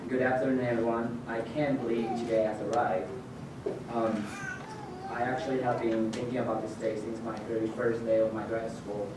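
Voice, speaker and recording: A mid-pitched man addresses a graduation ceremony, switching between two languages. He sounds sincere and pauses on an occasional um. The mic is far off in a large echoing hall, with noise underneath. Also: level tone. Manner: sincere, with filler ums. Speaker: graduating student speaker. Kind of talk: bilingual ceremony speech.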